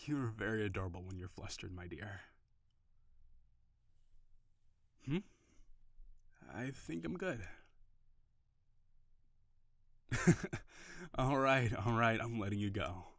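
A man playing an overworked office boyfriend in an audio roleplay. He sighs often, curses when frustrated and softens into affection. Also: fairly low pitch, animated, slow, pauses often, no echo, studio quality, full character voice. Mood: soft, affectionate. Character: stressed, overworked office boyfriend, gruff but tender. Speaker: voice actor.

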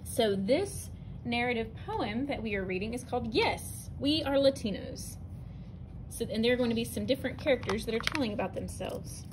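A woman's voice: on the high side, animated, moderate pace, noisy background. Woman reading a picture book aloud. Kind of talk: reading a narrative poem aloud to students. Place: indoors, at a table over an open book.

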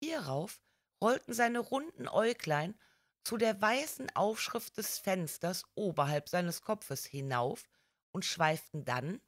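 A woman reads an audiobook story at an unhurried pace. Her voice sits slightly low, and her delivery is careful and measured. The sound is dry and close. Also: no echo. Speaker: audiobook narrator. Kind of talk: scripted storytelling narration.

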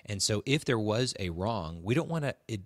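A man speaks in a lowish voice as a podcast co-host, casual and reflective. He is somewhat halting, repeating words while working toward his point. The recording is close and dry. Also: very animated, dry recording. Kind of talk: casual conversational talk with religious reflection.

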